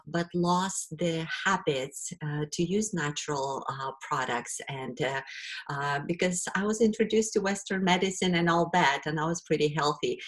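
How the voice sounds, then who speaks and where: Middle-aged Ukrainian-accented woman, webinar presenter, low voice, unhurried, hesitant with many fillers.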